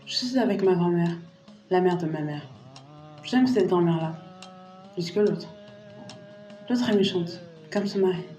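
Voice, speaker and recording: Woman playing indian girl telling her life story, soft dark tone, roomy, bit of music under it. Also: on the low side, unhurried, pauses often, close to an everyday voice. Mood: childlike, candid. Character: Indian girl growing into lonely bride, narrating her life. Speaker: lead narrator. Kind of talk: dramatic first-person scripted narration.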